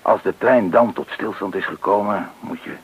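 A methodical crime boss laying out orders. His voice is very low and full of gravel. The old radio recording sounds dull and muffled. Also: moderate pace. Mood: businesslike. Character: methodical, businesslike leader of a robber gang, edgy. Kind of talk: Dutch radio play acting.

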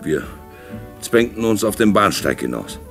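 A musing shady man, lively pitch. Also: measured pace, vocal fry, soft background music, full character voice. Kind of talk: radio play narration, musing.

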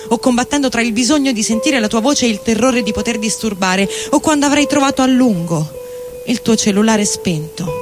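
Casting: actor as middle-aged heartbroken man, high voice, anguished stage monologue with guitar backing. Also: natural voice. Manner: anguished, dramatic, literary delivery. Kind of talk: theatrical literary monologue reading over music. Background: electric guitar and loops.

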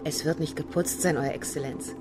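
An actress playing a genteel, guarded widow in a radio crime play, speaking formally and politely with restraint. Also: female voice, deep voice, steady tone, normal speed.

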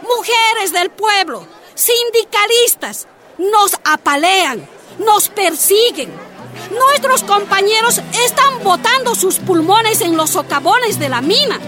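An actress playing a defiant, plain-spoken miner's wife and union activist in a radio drama, speaking with emphatic indignation. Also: female voice, extremely high pitch, animated, slow, full character voice. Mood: impassioned, indignant. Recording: music and crowd behind.

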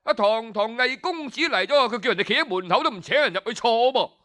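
A man's voice: very high voice, lively intonation, quick pace, pushed and bright, full character voice. A man with a Cantonese accent voicing haughty, indignant palace guards in a folk tale, grumbling and brash. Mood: scornful.

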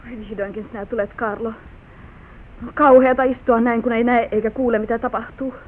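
A bewildered, anxious wife acted by a woman pleads in a slightly high, fretful voice. The old radio drama recording is thin and narrow. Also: fairly even intonation, close to an everyday voice.

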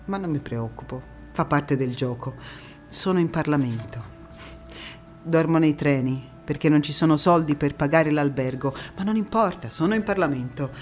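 An actress playing a proud, spirited young female parliamentarian recounting memories in an expressive, emphatic stage monologue. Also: low voice. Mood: proud, confiding. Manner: expressive, deliberate, emphatic phrasing. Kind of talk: theatrical first-person monologue.

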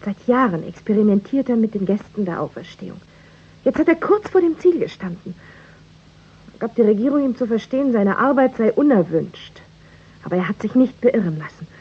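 A woman's voice: fairly high pitch, big pitch swings, brisk, husky, highs cut off, full character voice. A woman acting an earnest, determined daughter of a missing scientist in a 1960s German radio play, speaking insistently. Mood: proud, urgent.

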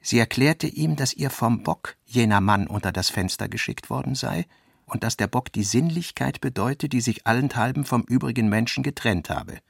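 A man's voice: mid-range voice, moderate pace, gravelly, husky, no echo. Narrator for literary radio play: male, mid-range voice, scripted prose with quoted speech, dry studio sound.

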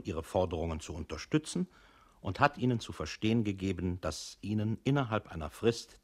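An actor playing a dry bureaucratic narrator in a radio play, speaking in a formal, measured, officious way. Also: male voice, deep voice, breathy edge.

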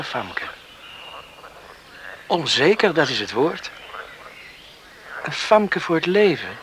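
An actor playing an earnest, tender young village man in a radio play, speaking in a halting, searching way. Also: a little high. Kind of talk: Dutch radio play acting. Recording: noisy old radio play recording.

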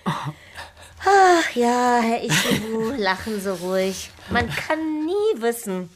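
A woman's voice: fairly high pitch, very animated, slow pace, noisy background. An actress playing a sentimental, gossipy German landlady in a radio drama, effusive and given to sighing. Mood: fond, coaxing.

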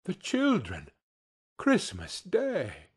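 A man voicing a gentle, meek father who answers mildly and slowly. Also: high-pitched, huge pitch swings, breathy edge, voice pushed up, full character voice. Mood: placating. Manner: mild, slow and placating. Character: meek gentle clerk, mild-mannered father.

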